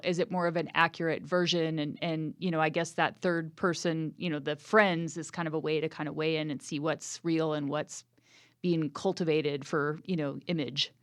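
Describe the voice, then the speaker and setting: A woman interviewing a guest on public radio, asking long, searching questions with many pauses and fillers. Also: fairly low pitch, fairly bright tone, clear, resonant tone, dry recording, studio quality.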